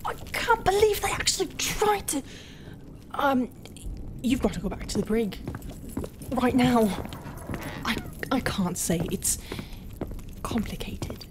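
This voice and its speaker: Casting: actress as a flustered, soft-hearted lady pirate captain for intimate audio roleplay; unhurried, hesitant, half-whispered, over noticeable ambience. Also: fairly high pitch, noisy background. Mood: agitated, apologetic. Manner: hesitant, halting, often breathy half-whisper. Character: conflicted, flustered female pirate captain, gruff but tender. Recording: steady ambient sound effects behind voice.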